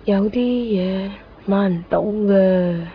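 A woman's voice: medium pitch, slow, a little hiss or hum. A woman acting a blunt, wistful, matter-of-fact teenage schoolgirl in a Cantonese radio drama, sighing, with a Hong Kong accent. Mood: resigned, knowing. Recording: dull lo-fi radio recording.

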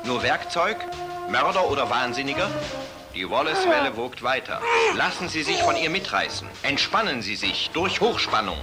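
An actor playing the portentous, suspense-laden announcer of an old 1960s crime film trailer. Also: male voice, on the high side, wildly swooping pitch, moderate pace, creaky, exaggerated voice. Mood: portentous, suspenseful. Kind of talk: old cinema trailer narration. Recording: old film soundtrack with music underneath.

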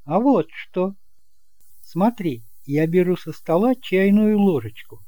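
A man playing an earnest, principled young man in an audio drama, speaking gently but resolutely. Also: high voice, animated, slow pace, no echo, pitched above the performer's own voice. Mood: playful. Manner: earnest, emphatic.

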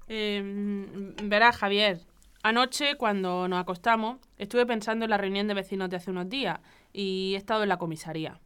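A woman's voice: mid-range voice, steady tone, normal speed, fairly bright tone, clear, resonant tone, lightly acted. A woman playing an affectionate, evasive wife in conversational, domestic radio drama dialogue. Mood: evasive, hesitant.

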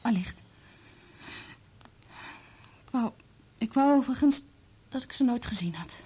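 An earnest, determined daughter in a radio drama. Her voice is fairly high yet dark and mellow, with a husky grain. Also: expressive, a little slow. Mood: regretful. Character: earnest, determined daughter bringing painful evidence. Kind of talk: Dutch radio play acting.

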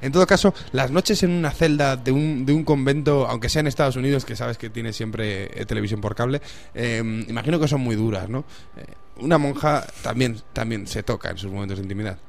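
A man's voice: mid-range voice, brisk, gravelly, hardly any pauses. A man hosting a comic radio interview, teasing his guest with puns. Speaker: radio host.

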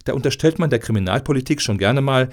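A man acts a smug spokesman in a satirical radio play. His mid-pitched voice swings in a lively way through long, glib, euphemistic sentences, as if every outrage were routine policy. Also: quick pace, natural voice. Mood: smug, emphatic. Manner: glib, verbose, euphemistic official-speak. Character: smug male lobbyist for tolerating violent crime.

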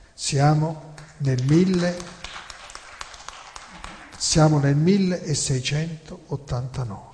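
A man delivers a theatrical historical monologue in a deliberate, emphatic, rhetorical way with dramatic pauses. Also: mid-range voice, a little mellow. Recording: long hall reverb.

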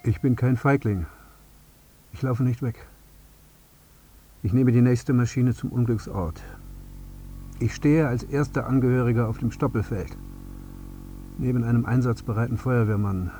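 An actor playing a numb, bereaved father and husband in a radio drama monologue, speaking in stark short sentences with a husky voice. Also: mid-range voice, measured pace, long pauses, mellow, slight background noise, natural voice. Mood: stubborn resolve. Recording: slightly dull, muffled sound.

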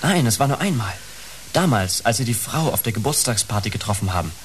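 A man's voice: mid-range voice, very animated, brisk, slight background noise, natural voice. An actor playing a needy, emotionally fragile sixteen-year-old boy full of adoration, in a naturalistic radio play. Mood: starstruck, excited.

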